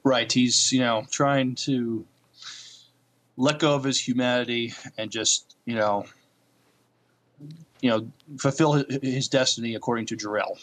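A man with a medium-pitched voice co-hosts a casual podcast about TV shows. His delivery is halting and tentative, and he often repeats words as he works out what to say. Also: fairly even intonation, fairly bright tone. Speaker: podcast co-host.

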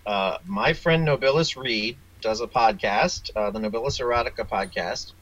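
A man's voice: fairly low pitch, moderate pace, fairly bright tone, highs cut off. A man giving casual interview answers as a guest author, rambling and hesitant in his delivery. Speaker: interview guest, author and audio drama producer.

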